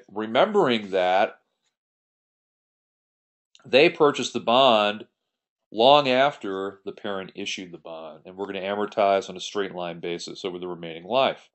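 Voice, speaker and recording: A man giving an explanatory tutorial as an accounting instructor, working through an example step by step in a conversational way. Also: fairly low pitch. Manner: step-by-step, conversational, poses questions then answers them. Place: voice-over on a screen recording.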